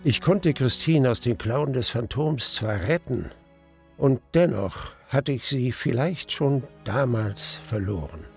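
An actor playing an old grieving man who narrates his haunted memories of a lost love in a radio play, halting and reflective. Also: medium pitch, animated, vocal fry, darkish tone, soft background music. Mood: mournful, brooding. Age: older. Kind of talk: dramatic first-person radio play narration.

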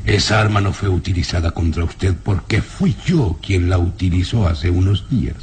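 An actor playing a haughty, menacing baron in a radio drama, imperious, mocking and deliberate. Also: male voice, sits low, darkish tone. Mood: coolly explaining. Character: haughty, menacing aristocratic baron who loves weapons. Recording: noisy old radio serial recording.

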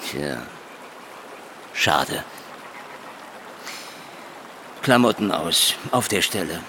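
An actor playing a wisecracking, ironic private detective in a noir radio play, drawling taunts and mock endearments. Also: male voice, deep voice, lively intonation, slow, noisy background. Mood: taunting, menacing. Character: sardonic, wisecracking hard-boiled male private detective. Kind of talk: radio play acting with noir first-person narration.

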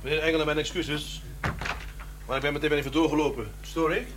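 An actor playing a polite, persistent police detective in a radio drama, speaking formally. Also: male voice, fairly high pitch, steady tone, quick pace, over loud music, close to an everyday voice. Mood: polite, insistent. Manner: formal, courteous.

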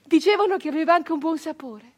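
An actress performing a theatrical radio monologue as a wistful, dreamy peasant woman recalling her girlhood, with halting repetitions and a breathy delivery that moves between whispers and fervour. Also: very high voice. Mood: dreamy, yearning. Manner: halting repetitions, dropping into breathy whispers and swelling to fervent cries. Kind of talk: theatrical radio monologue, dramatic first-person storytelling.

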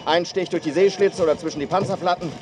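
An actor playing a brisk male reporter of brutal medieval combat in a radio drama. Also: high voice, steady tone, a crowd in the background, lightly acted. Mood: brisk, grim. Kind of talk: radio drama fast battle commentary.

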